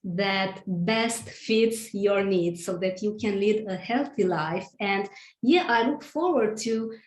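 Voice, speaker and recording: A middle-aged woman hosting an interview on a video call from a room at home, speaking effusively in deliberate phrases. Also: medium pitch. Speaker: host. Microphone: video call, sitting back from camera. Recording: roomy, echoing home room.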